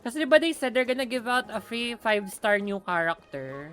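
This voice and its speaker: A woman's voice: fairly high pitch, sing-song, a little fast, fairly bright tone. A young woman, chatting casually while streaming a game, mixing in Tagalog. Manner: chatty, mixes Tagalog into English.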